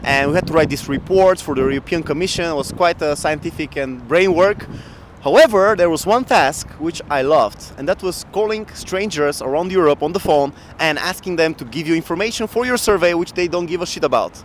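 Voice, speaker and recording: A man in his thirties from Bulgaria telling his work story in a casual outdoor interview, animated and laughing often, into a handheld mic. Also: on the high side, fairly quick, a little hiss or hum, low-quality recording. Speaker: guest entrepreneur. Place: outdoor city square in winter. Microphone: handheld mic shared, camera close.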